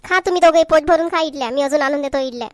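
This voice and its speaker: A woman's voice: high-pitched, fast talker, no echo, lightly acted. A woman voicing a caring, fussing mother in a homemade comedy cartoon, with a homely Varhadi Marathi accent from Vidarbha. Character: Indian mother, fussing over her children, caring.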